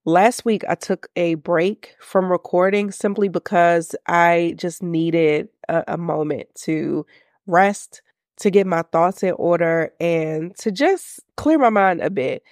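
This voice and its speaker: A woman's voice: on the low side, takes it slowly, a little mellow, no room sound, studio quality. Congested, nasal woman podcast host. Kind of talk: faith-based business podcast talk.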